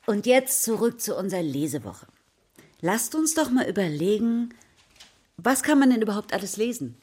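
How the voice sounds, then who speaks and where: Primary school teacher in a radio play: patient, encouraging, slightly husky, very lively intonation. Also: female voice, mid-range voice, normal speed. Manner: prompting with questions, addressing children. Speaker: actor in a children's radio play. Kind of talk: natural radio drama dialogue.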